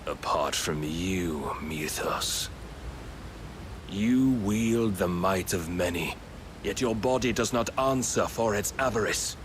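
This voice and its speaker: An actor playing a grave, menacing godlike servant in a fantasy game, speaking in solemn, pompous, archaic phrases. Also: male voice, low-pitched, slow, noisy background. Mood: solemn, preaching. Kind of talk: dramatic fantasy game cutscene acting.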